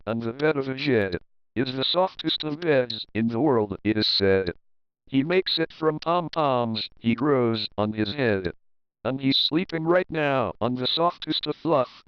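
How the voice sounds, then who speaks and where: A man's voice: medium pitch, measured pace, smooth, dry recording, dull audio. A man narrating a children's picture book as a readalong, reading rhyming verse expressively and rhythmically. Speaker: storybook narrator.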